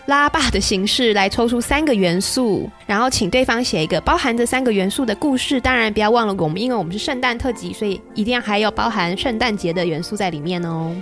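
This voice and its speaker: A woman's voice: mid-range voice, fast, few pauses, soft background music. A woman with a Taiwanese Mandarin accent chatting casually as a podcast co-host. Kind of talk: casual chat.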